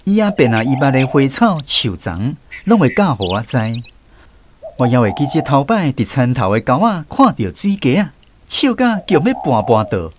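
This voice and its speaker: Lively-pitched man narrating a radio story. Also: slight background noise. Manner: measured storytelling, recalling childhood. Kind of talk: scripted radio drama narration.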